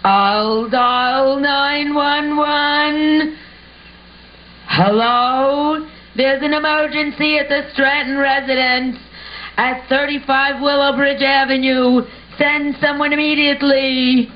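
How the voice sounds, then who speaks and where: A dutiful butler voiced by a woman speaks formally in a raised, measured voice. It is puppet show dialogue with a dull sound and faint hiss. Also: high voice, steady tone, pitched above the performer's own voice. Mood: urgent, formal. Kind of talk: amateur puppet show acting. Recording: muffled home recording with hiss.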